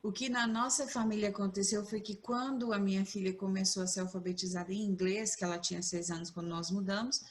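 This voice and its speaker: A mother speaking earnestly and reflectively as a webinar panelist. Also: medium pitch. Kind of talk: casual webinar talk. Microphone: video call.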